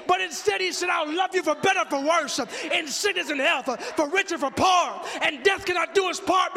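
Man, preacher, fervent declamatory sermon, forceful piercing tone, gravelly rasp, rhythmic repetition, long hall reverb. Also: extremely high pitch. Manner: fervent, emotional shouting. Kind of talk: impassioned sermon listing the names of Christ.

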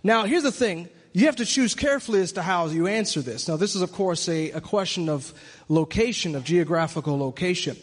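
A man's voice: high voice, animated, brisk. A man from Michigan preaching in an earnest, reflective way that builds to emphatic points. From Michigan, USA. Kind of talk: sermon-style monologue. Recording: long hall reverb.

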